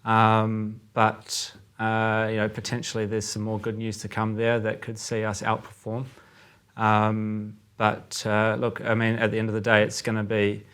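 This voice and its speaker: A man with a New Zealand accent, a trader discussing currency markets as a podcast guest in a measured, thinking-aloud way. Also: lowish voice, completely flat delivery, fairly bright tone, clean tone.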